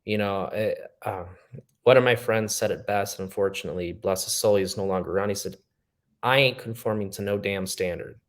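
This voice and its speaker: A man's voice: lowish voice, fairly quick. A man speaking as a podcast guest in casual chat, earnest and reflective with an optimistic tone.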